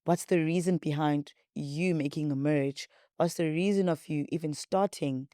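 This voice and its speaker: A young South African woman with a deep, warm, mellow voice, chatting to her listeners in a rambling, repetitive way. Her voice is recorded dry and close, with no room sound. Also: steady tone, moderate pace, dry recording. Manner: rambling, repetitive, chatty, says she talks a lot. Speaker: podcast host. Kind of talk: casual chat to viewers.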